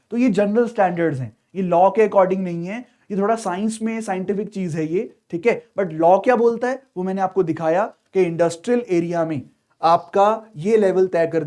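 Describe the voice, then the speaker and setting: A man's voice: high voice, darkish tone. A man with an Indian accent giving an explanatory lecture as an exam teacher, posing questions and then answering them in a didactic way. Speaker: civil services exam teacher.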